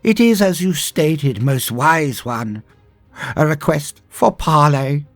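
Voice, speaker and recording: A man voicing a foppish, fawning court dandy who addresses an emperor in a drawn-out, theatrical way for a fantasy story. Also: a little high, lively intonation, no echo, voice pushed up. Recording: music under the voice.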